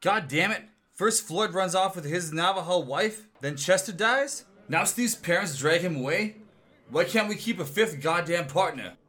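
A man's voice: high-pitched, animated, normal speed, pushed and bright. A man playing a bossy, grumbling Old West gang leader in a comic audio drama. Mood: exasperated. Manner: commanding, grumbling. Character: bossy, exasperated male outlaw gang leader.

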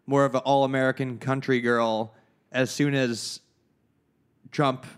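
Laid-back, wry podcast co-host. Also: male voice, medium pitch, level tone, measured pace, clear, resonant tone. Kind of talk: casual chat.

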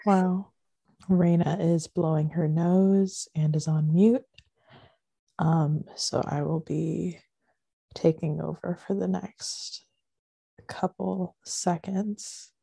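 A young woman speaks slowly in a very dark, gravelly voice with lots of vocal fry. She chats in a laid-back, giggly way, and the sound is close and dry. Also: lowish voice, steady tone, no echo. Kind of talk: casual chat.

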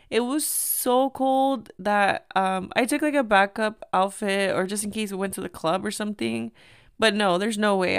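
A young woman hosting her own podcast, slightly hoarse and tired, chatting casually and telling a story with lots of "like". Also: mid-range voice, no room sound, studio quality. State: tired from lack of sleep. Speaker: podcast host. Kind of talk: casual solo podcast chat.

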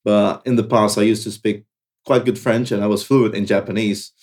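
A man's voice: lowish voice, moderate pace. Young Brazilian man, easygoing filler-heavy talk. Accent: Brazilian Portuguese. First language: Portuguese. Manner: relaxed, leans on "like" and "you know" fillers. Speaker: podcast guest. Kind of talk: casual chat. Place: travelling in Morocco, talking over a call.

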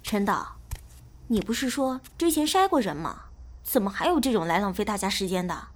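A woman playing a sharp-tongued film crew colleague in a Chinese audio drama, with a pointed, questioning manner. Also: mid-range voice, sing-song, moderate pace. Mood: irritated.

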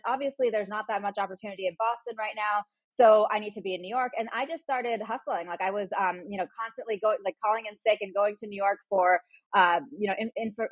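A woman in her forties, a journalist, chatting quickly as a podcast guest, full of "like" and "you know". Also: medium pitch, dry recording, low-quality recording. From United States. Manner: quick, chatty storytelling with frequent "like". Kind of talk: casual interview conversation.

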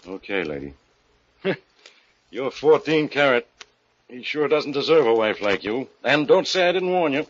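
A man's voice: medium pitch, sing-song, measured pace, long pauses, breathy edge. A man playing a blunt, wisecracking police inspector with slangy, hard-boiled delivery in 1940s radio mystery style. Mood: wry warning. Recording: muffled old-time radio recording.

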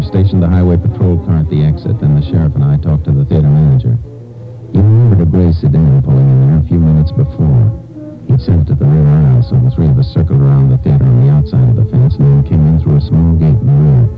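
An actor playing a determined, businesslike Texas Ranger in a 1950s radio drama, speaking in a clipped, authoritative way. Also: male voice, a bass voice, fast. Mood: grim, narrating. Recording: muffled 1950s radio recording, music behind.

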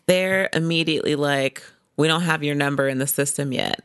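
A woman telling a story in casual chat, animated and acting out what people said. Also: low-pitched, moderate pace, dry recording, studio quality. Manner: animated, acts out quotes in voices.

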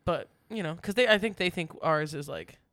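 A man's voice: on the high side, lively intonation, moderate pace, a bit bright, no room sound, clean studio sound. A man from the United States chatting casually as a podcast co-host, rambling and laughing often. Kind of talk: casual chat.

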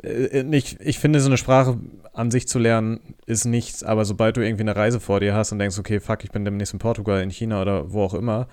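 A man with a slightly low voice talks in a casual podcast style. He often breaks off and rephrases, keeping the wording informal and conversational. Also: steady tone, a little fast, hardly any pauses, a little mellow. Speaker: podcast co-host.

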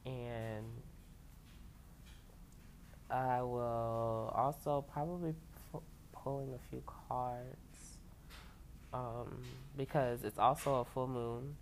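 A woman's voice: extremely low pitch, fairly even intonation, drawn-out words, pauses often, a little hiss or hum. A woman hosting her own podcast in a loose, rambling chat at home, full of fillers and casual swearing, sounding a little stuffy from allergies. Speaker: podcast host. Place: home, laundry dryer running. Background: lo-fi music playing under the voice.

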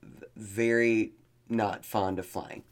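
A middle-aged woman co-hosting a podcast, telling a long funny story in a casual, animated way, stumbling over words and jumping into quotes. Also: rumbling low, slow pace. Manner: animated, jokey, lots of restarts and quoted voices. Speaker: podcast co-host.

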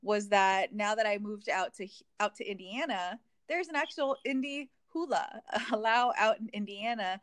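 A woman's voice: mid-range voice, moderate pace, few pauses, a bit bright, dry recording. Chatty woman from Hawaii, dry sound. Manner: reflective, many fillers and restarts. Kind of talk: casual conversation.

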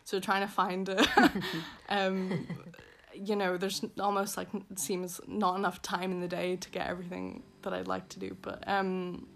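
A woman's voice: medium pitch, monotone, moderate pace, creaky, fairly bright tone. A young woman with a Belfast accent, talking casually as an interview guest, rambling with many fillers. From Northern Ireland.

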